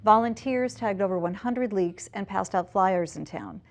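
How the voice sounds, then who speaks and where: A woman's voice: medium pitch, normal speed. American woman reading the news. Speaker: news anchor. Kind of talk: scripted news narration.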